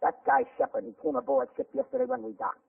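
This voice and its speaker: An actor playing a tired, anxious sailor on the run, slangy and urgent in 1940s radio style, on a tinny old recording. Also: male voice, very high-pitched, steady tone, fast, creaky, breathy edge, no echo, distant mic, full character voice.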